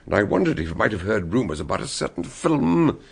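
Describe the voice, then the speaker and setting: An actor playing a shrewd male detective who calmly lays out how the crime was solved in a radio drama. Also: on the low side, vocal fry, breathy edge, full character voice. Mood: explaining. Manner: explaining, measured.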